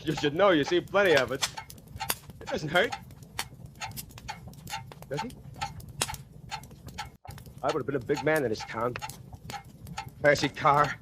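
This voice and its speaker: A man's voice: on the high side, a little slow, pauses often, close to an everyday voice. A man playing a terrified condemned convict. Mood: bitter bravado. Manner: boastful bravado breaking into pleading terror. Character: panicking condemned male convict facing execution. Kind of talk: 1940s radio acting. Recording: noisy old radio broadcast.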